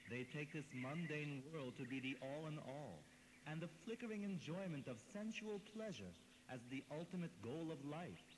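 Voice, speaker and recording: An actor playing a wise, grave grandsire god in a devotional audio drama, declaiming solemnly and formally. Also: male voice, on the high side, animated, normal speed, noisy background, natural voice. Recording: long cavernous reverb. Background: music.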